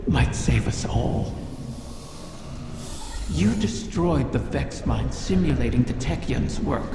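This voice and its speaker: A man voicing an ancient, cunning wish-granting dragon in a video game, telling its tale in solemn, deliberate, mournful riddles. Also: medium pitch, gravelly, background noise. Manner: slow, deliberate, solemn storytelling. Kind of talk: dramatic scripted game monologue. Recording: echoing hall reverb, loud music behind.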